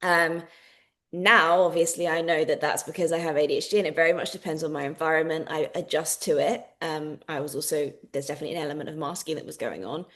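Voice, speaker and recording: Woman, podcast guest, lower voice, open and reflective. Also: steady tone, fast, fairly bright tone. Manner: open, reflective, many fillers. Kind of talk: candid personal account.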